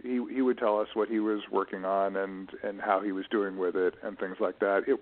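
A middle-aged man answering as an interview guest, reflective and measured, choosing precise words with pauses. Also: on the low side, little pitch movement. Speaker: interview guest, writer and publishing professional. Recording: narrow, muffled phone-like audio.

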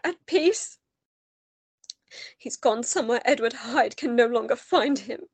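A woman playing a shaken young Victorian woman in a radio play, telling her tale in a dramatic, deliberate way. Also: fairly high pitch, lively intonation, husky, dry recording. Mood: shaken, grieving. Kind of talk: radio play acting and first-person narration.

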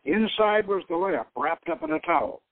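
A man's voice: high-pitched, huge pitch swings, moderate pace, creaky, no room sound. Man reading fiction over a tinny, narrow-band line. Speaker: show host reading his own novel. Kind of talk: dramatic reading of an adventure novel. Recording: tinny, narrow-band radio-style sound.

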